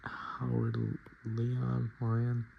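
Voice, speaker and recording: A man speaking softly and often whispering in a casual ASMR style, with hesitant, halting, murmured delivery. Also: mid-range voice, monotone, vocal fry, dull audio. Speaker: ASMR video host. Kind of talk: soft-spoken and whispered ASMR, casual commentary. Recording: noticeable background noise.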